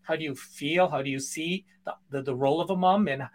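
A man hosting an interview, asking questions with enthusiastic, slightly halting phrasing. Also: on the high side. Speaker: podcast host. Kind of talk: casual interview conversation.

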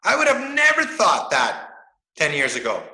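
A man's voice: on the high side, huge pitch swings, normal speed, pushed and bright, reverberant, rough recording. A man in his forties talking emphatically and motivationally in a casual podcast conversation, swearing freely. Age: 40s. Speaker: fitness coach and guest.

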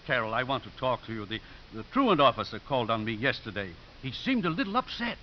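A gentle old priest voiced by a male actor with very expressive, rising and falling speech. He is courteous and dryly funny in a 1950s radio play, on a dull recording with faint hiss. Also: medium pitch, fast, natural voice. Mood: gently wry.

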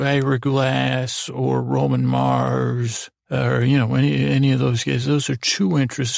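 A man hosting a sleep podcast, giving a soothing, meandering bedtime monologue in a halting way, trailing off and restarting as he digresses. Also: medium pitch, vocal fry, dry recording, studio quality. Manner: soothing, lulling.

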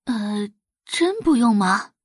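A woman's voice: fairly high pitch, lively intonation, takes it slowly, slightly rough, dry recording, clean studio sound, full character voice. A woman voicing a doting rich mother in an audio drama, fussy, theatrical and exaggerated in every reaction. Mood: anxious. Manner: exaggerated, gushing, fretful.

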